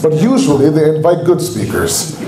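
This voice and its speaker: A man's voice: fairly high pitch, lively intonation, moderate pace, a crowd in the background. Man with a Canadian accent, echoey hall. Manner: earnest, exhorting. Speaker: speaker at a religious gathering. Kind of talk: sermon. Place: large hall at a community center.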